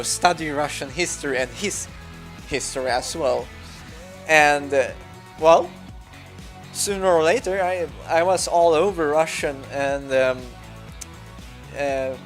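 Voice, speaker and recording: A man's voice: fairly high pitch, fairly bright tone. Hesitant Swedish-accented man. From Sweden. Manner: hesitant, many fillers and restarts. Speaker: gaming YouTuber. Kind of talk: casual gameplay commentary.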